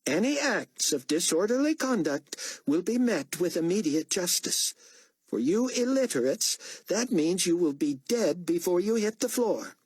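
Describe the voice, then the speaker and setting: A man voicing a menacing male official who loudly announces orders to prisoners. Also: big pitch swings, measured pace, husky, no room sound, heavily acted. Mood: menacing, commanding. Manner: loud commanding announcement, raised high voice.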